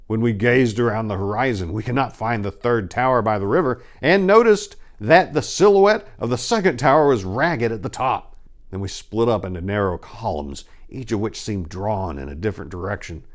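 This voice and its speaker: A man's voice: fairly low pitch, expressive, moderate pace, close to an everyday voice. A man voicing a haunted, dread-stricken narrator of cosmic horror, reading theatrically and solemnly with swelling and sinking delivery. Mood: haunted, grim. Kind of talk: dramatic horror story reading.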